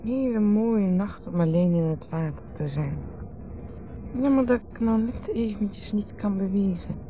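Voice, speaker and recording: Dreamy, rambling Dutch wife, played by an actress. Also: female voice, mid-range voice, expressive, measured pace, a little hiss or hum, lightly acted. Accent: Netherlands Dutch. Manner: rambling, repetitive, musing. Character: dreamy, rambling, teasing wife, drinking under a full moon. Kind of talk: 1970s radio drama acting. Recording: dull old radio recording with music.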